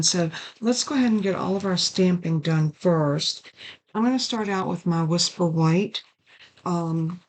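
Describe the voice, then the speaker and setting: An older woman, raspy and hoarse from a sinus infection, hosting a casual card-making tutorial at her craft desk. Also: fairly low pitch. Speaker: card-making channel host.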